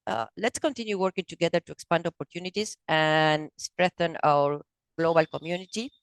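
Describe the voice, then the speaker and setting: A woman gives a prepared talk over a video call. Her voice is smooth and resonant, with a dry, close sound. She halts often, with fillers and restarts. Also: sits low, no echo, low-quality recording. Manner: halting, with many fillers and restarts. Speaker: committee member speaking at a meeting.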